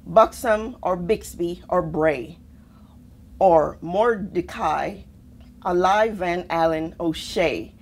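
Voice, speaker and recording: A woman reads a children's picture book aloud at an unhurried pace. Her pitch moves freely up and down around an average register, and she shapes each phrase expressively, leaving pauses between them. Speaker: storybook reader, army officer.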